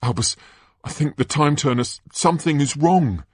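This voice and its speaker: A man's voice: on the high side, a little slow, no echo, pitched above the performer's own voice. A man voicing an anxious, concerned teenage schoolboy in a storybook reading, hesitant and urgent. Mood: worried, urgent.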